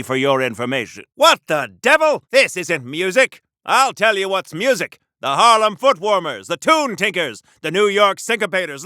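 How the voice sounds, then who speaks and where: An actor playing an earnest, pompous 1930s American superhero in a theatrical old-time radio serial style for a video game. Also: male voice, on the high side, sharp tone, no room sound, studio quality. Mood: earnest, confiding, then heroic, indignant. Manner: theatrical, pompous, old-fashioned patriotic announcer delivery. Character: earnest, bombastic 1930s American male golden-age superhero. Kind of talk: 1930s-40s radio serial hero acting.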